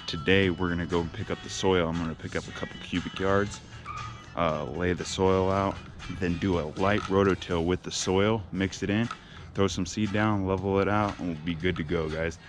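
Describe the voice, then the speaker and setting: A man's voice: deep voice, steady tone, normal speed. A young man vlogging outdoors about his yard work, talking easygoingly to his viewers and walking them through each step. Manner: easygoing, talks to viewers as "guys". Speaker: landscaping vlogger. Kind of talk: casual vlog narration. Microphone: action camera on body. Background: music.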